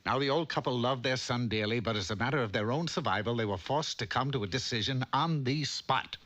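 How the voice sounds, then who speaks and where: A man's voice: medium pitch, brisk, fairly bright tone. A man narrating a comic fairy tale in a theatrical storybook style.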